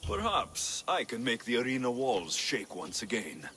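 A dry-witted Greek mercenary. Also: male voice, medium pitch, huge pitch swings, measured pace, sharp tone, slight background noise, full character voice. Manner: dry, sardonic. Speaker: player character. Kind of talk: video game dialogue.

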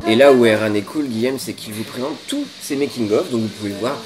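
A man's voice: medium pitch, brisk, music in the background, poor audio. A man in his twenties with a French accent, casually co-hosting a web show with wry jokes. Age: 20s. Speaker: co-host of a web culture show. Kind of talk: casual presenting to camera. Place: diner booth with red seats.

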